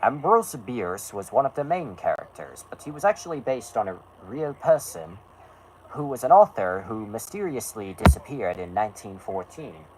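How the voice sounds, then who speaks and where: A man's voice: on the low side, big pitch swings, normal speed, noisy background. A man from the United States with a sore throat, chatting casually and theatrically. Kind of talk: casual film review chat.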